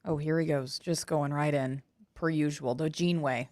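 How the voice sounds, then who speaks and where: A woman's voice: low-pitched, fairly even intonation, moderate pace, a little mellow. A woman co-hosting a radio morning show, reacting playfully and squeamishly in casual banter. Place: radio studio.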